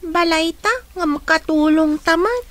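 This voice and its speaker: A woman playing a meek, high-voiced orphan girl. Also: measured pace, dry recording. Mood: weary. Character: young mistreated orphan girl, timid. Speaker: radio drama actor. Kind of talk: radio drama acting.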